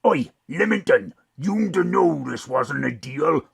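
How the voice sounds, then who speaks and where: Gravelly, menacing hitman. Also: fairly high pitch, lively intonation, slow pace, a crowd in the background, full character voice. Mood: menacing, scornful. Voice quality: gravelly, rough. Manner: colloquial, mocking, threatening. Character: menacing, scornful male hitman, rough-spoken thug. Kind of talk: audio drama acting.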